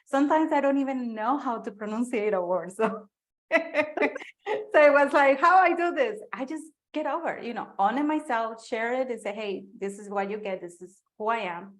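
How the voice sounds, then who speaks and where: A woman's voice: on the high side, animated, moderate pace. A woman with a Spanish accent, a native Spanish speaker, hosting an interview with enthusiastic praise. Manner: warm enthusiastic praise, mixes casual phrasing. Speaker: host and business coach.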